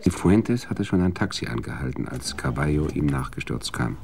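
A man narrating a radio drama in a measured, literary storytelling manner. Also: extremely low pitch, lively intonation, vocal fry, a little mellow. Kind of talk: scripted narration.

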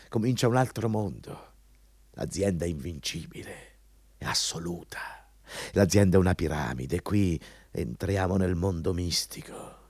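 An actor playing a condescending, coldly dogmatic company boss who lectures and sneers in a radio drama. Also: male voice, sits low, darkish tone, husky, no echo, heavily acted. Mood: irritated, lecturing, then grandiose, hushed. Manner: sneering, lecturing, repeats phrases for emphasis.

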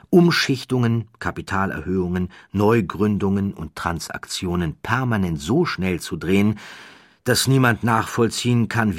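Deep, husky German male narrator. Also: no echo, clean studio sound. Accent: standard German. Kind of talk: scripted documentary storytelling narration.

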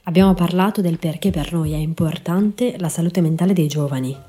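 A woman's voice: fairly low pitch, brisk, mellow. A woman narrating a scripted podcast as host, in an informal, explanatory manner. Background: faint background music.

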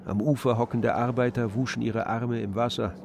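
A man's voice: mid-range voice, little pitch movement, moderate pace, creaky, noisy background. A man reading literary narration as the storyteller of a radio play.

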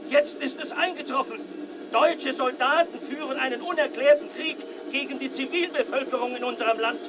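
A helicopter traffic reporter in a radio drama. His extremely high male voice sounds appalled and grave, reporting dramatically through clear aircraft noise. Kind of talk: German radio play acting. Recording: noisy live report from a helicopter.

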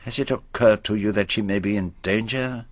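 An actor playing a shrewd, courteous Belgian detective in a radio drama, speaking formally and deliberately in a French-accented voice. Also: male voice, fairly low pitch, fairly even intonation, no room sound, dull audio, close to an everyday voice. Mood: grave, reasoning. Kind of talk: radio drama acting and narration.

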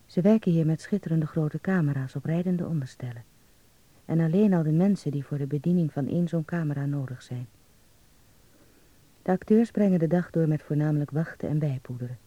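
A man's voice: fairly high pitch, brisk, warm tone, no echo, muffled recording, close to an everyday voice. An actor playing a dreamy young man in a radio drama, confiding intimately in a letter home to his mother. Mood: quietly excited.

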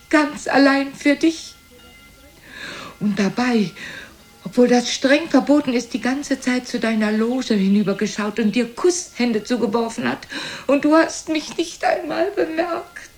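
Haughty, high-voiced old stage mother. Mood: reproachful, wounded. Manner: theatrical, haughty, needling. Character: proud, reproachful old mother, faded singer and actress. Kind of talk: German radio play acting.

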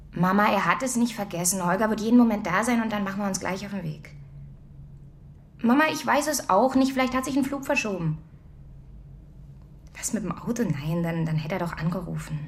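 An actress plays a middle-aged mother who grows more anxious by the minute as her husband fails to turn up. She talks fast and insistently, in a plain everyday voice. Faint background noise sits under this radio play. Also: medium pitch, natural voice. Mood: worried. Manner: worried, insistent.

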